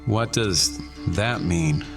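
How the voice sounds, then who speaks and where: An actor playing a gentle, devoted husband and father who speaks with solicitous reassurance in a dramatised audiobook. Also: deep voice, drawn-out words, vocal fry, distant mic, faint music behind, heavily acted. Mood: concerned.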